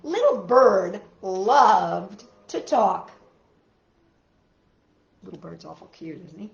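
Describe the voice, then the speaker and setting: A woman hosting a storytime for children, reading aloud in an expressive, casual way and putting questions to young listeners. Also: medium pitch, slow, gravelly, roomy sound, dull audio. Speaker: storytime host reading picture books to children.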